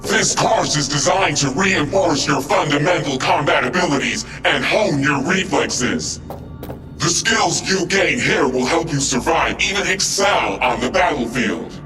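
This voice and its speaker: An impassive military training simulation, gives procedural instructions. The male voice is formal and crisp, echoing from a little way off, with music behind it. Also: on the high side, lively intonation, unhurried, far from the mic. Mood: impassive, authoritative. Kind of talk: scripted instructional narration.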